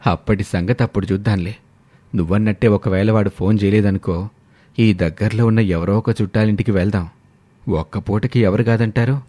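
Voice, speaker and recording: A man's voice: fairly low pitch, fairly even intonation, a little fast, warm tone, dry recording, voice pushed down, close to an everyday voice. A man playing a weary, proud older father in a family moral story, resigned and grumbling.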